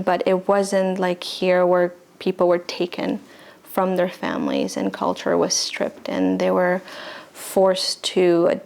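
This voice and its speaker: A young woman from Bolivia answers interview questions earnestly, now and then restarting her sentences. Her voice is fairly low and carries a strong gravelly creak. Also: darkish tone.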